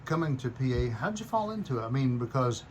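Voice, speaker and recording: A man, asking questions casually as an interviewer in a relaxed conversation. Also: medium pitch, fast, a little hiss or hum.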